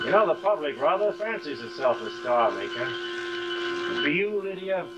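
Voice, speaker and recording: An actor playing a suave, steady middle-aged gentleman friend in a polished, measured manner, in old live television drama style. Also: fairly high pitch, muffled recording, over loud music. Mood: courtly, admiring. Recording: noisy, hissy old television soundtrack.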